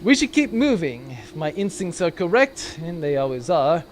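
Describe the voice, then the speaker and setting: Man playing a pompous, lively high-pitched space major. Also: moderate pace, slight background noise. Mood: smug, boastful. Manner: pompous, theatrical. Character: pompous, boastful military tracker, a major hunting fugitives. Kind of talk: comic sci-fi audio drama.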